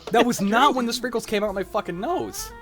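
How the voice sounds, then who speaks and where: A man's voice: very high-pitched, expressive, brisk. A young man in casual group chat, talking in a crude, joking, sweary way. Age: young adult. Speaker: show cast member. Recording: music behind. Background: music.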